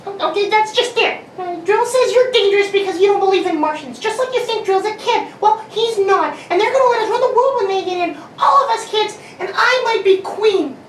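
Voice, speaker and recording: A woman's voice: extremely high pitch, brisk, hardly any pauses. A woman playing an eager, secretive young girl with an earnest, hesitant, childlike way of talking, in a radio drama. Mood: excited, defiant. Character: young daughter under eleven, eager, secretive, imaginative. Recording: roomy, distant mic, faint noise.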